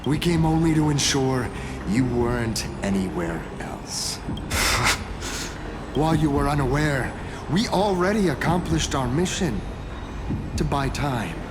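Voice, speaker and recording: A man voicing a smug henchman in a fantasy game, taunting and mock-polite with drawn-out delivery. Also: mid-range voice, animated. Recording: noisy ambience behind.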